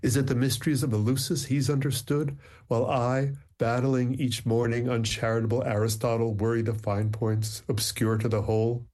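A middle-aged man, a poet, talks reflectively with frequent pauses and then reads his poems slowly and deliberately. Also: medium pitch, dark tone. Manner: measured, reflective, many ums when talking, slow deliberate reading. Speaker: poet, interview guest. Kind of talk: conversation and slow poetry reading.

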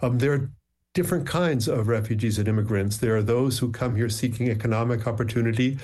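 Man, native Ukrainian speaker, poet, medium pitch, reflective, measured phrasing, thoughtful literary delivery. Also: darkish tone. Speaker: poet, interview guest. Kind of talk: thoughtful conversation and poetry reading.

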